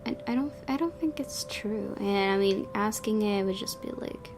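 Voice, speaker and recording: A woman's voice: mid-range voice, moderate pace, background noise. A woman hosting a gaming video, giving casual commentary and reading the story narration aloud. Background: game music.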